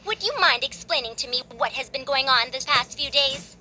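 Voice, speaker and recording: An actress playing a stern, experienced middle-aged teacher who lectures the young ones. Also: female voice, high voice, moderate pace, sharp tone, exaggerated voice. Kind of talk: game voice acting.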